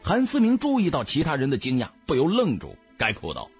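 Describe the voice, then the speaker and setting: A man narrating an audiobook in a dramatic, theatrical, expressive storyteller's manner. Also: medium pitch, fairly quick, slightly rough, lo-fi sound, soft background music.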